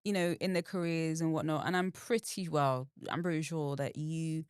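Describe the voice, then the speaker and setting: A woman co-host asking her guest gentle, sympathetic questions. Her voice is very low for a woman. The recording is dry and close. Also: steady tone, moderate pace, clear, resonant tone, no echo. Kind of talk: casual interview talk.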